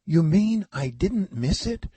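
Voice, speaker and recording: A man's voice: on the high side, sing-song, takes it slowly, pitched above the performer's own voice. A man voicing a dreamy, earnest little girl in a children's storybook. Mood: excited, determined. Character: dreamy little girl, sad then eager.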